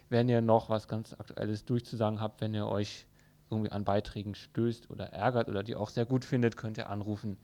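A man's voice: on the low side, dark tone. Man hosting an informal radio news magazine.

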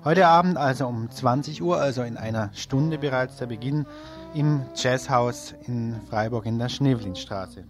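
Man, mid pitch, community radio presenter, informal event listings, improvised, light guitar backing. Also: normal speed. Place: radio studio. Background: guitar music under the voice.

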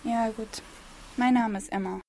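A young woman playing a cool, irritable teenage girl with a high voice. She is curt and slangy, acted for a youth radio drama, over clearly noisy sound. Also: steady tone, a little slow, warm tone. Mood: wary, grudging. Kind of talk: amateur youth radio play acting. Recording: clear background noise.

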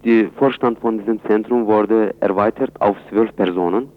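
A man answering in a radio interview, speaking haltingly in phrasing. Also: lowish voice, fairly even intonation. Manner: halting, non-native phrasing. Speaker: interviewee, chairman of a Kurdish community centre. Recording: dull, lo-fi radio recording.

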